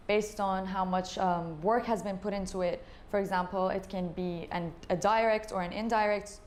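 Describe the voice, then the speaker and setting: A woman originally from Iran, a dentist, giving clear and measured explanations as an interview guest. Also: mid-range voice, a little mellow, a little hiss or hum.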